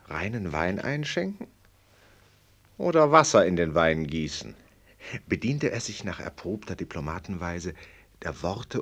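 A man's voice: low voice, fairly bright tone, exaggerated voice. A man acting a knowing, sardonic commentator in a radio play, with ironic, teasing, rhetorical delivery. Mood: probing, rhetorical. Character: sardonic knowing male commentator needling a prince.

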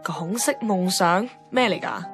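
A woman's voice: mid-range voice, animated, a little slow, natural voice. A woman playing a wry, teasing young radio show maker in a radio drama, speaking Hong Kong Cantonese. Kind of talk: radio drama dialogue and narration. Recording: music behind the voice.

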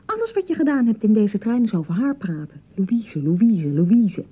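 An actress playing an insecure young newlywed wife in a radio drama, nagging and reproaching in repeated, sarcastic phrases. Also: female voice, medium pitch, big pitch swings, moderate pace, breathy edge, narrow, tinny audio. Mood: sarcastic, jealous. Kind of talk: naturalistic radio drama acting.

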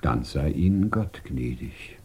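Old wise man in a 50s radio play, super low and lively pitch swings, solemn, kind. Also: slow, slight background noise, full character voice. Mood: solemn counsel. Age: older. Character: compassionate, grave older man, long-time emigrant offering moral counsel. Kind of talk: 1950s German radio play acting.

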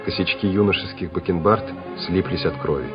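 A dull, vintage radio recording with music behind a low male voice. The man narrates a war drama in a scripted, theatrical style. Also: brisk.

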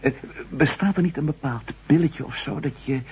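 An actor playing a wry, adventurous ex-astronaut reporter in a Dutch science-fiction radio drama, animated in his delivery. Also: a little high, normal speed, breathy edge. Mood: eager, hesitant. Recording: dull, noisy old radio recording with hum.

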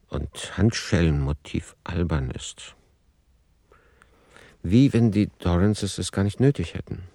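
An author deliberating over a manuscript in a radio drama. The voice is deep and sits in a dry, close acoustic. The delivery is sober, analytical and essay-like. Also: male voice, creaky, dark tone, no room sound, exaggerated voice. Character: analytical male author reasoning aloud over his novel plot. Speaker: author's working-notes voice in a radio play. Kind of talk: essayistic scripted commentary in a radio play.

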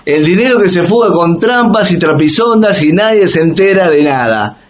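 A man's voice: sits high, lively intonation, moderate pace, highs cut off, slight background noise. An actor in a Latin American radio play voicing an earnest, informed younger man who explains things emphatically. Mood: grave, explaining.

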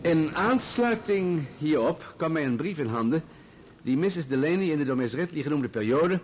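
An actor playing a composed, matter-of-fact journalist giving measured, formal testimony in a radio drama. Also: male voice, fairly high pitch, animated, close to an everyday voice, poor audio. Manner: measured, formal, factual recounting.

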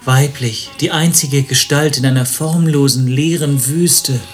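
Actor as reserved, mid-pitched lovesick young assistant. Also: male voice, steady tone, measured pace, faint music behind, close to an everyday voice. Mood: guarded, earnest. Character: reserved, hesitant male lovesick assistant, politely sceptical. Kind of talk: German radio play acting.

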